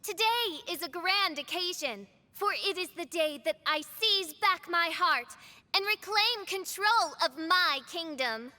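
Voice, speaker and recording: High, bright, grandiose princess girl. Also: animated, measured pace, long reverb tail, full character voice. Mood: regal, triumphant. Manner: grandiose, archaic, declamatory. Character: theatrical teenage girl styling herself a fantasy princess. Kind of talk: video game character acting.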